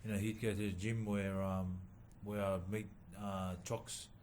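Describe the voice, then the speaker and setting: A man from New Zealand, a boxer, answering interview questions haltingly with many pauses and fillers. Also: deep voice, little pitch movement, slight background noise. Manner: halting, many ums and uhs, soft-spoken. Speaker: boxer and former rugby league player, guest. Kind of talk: casual interview.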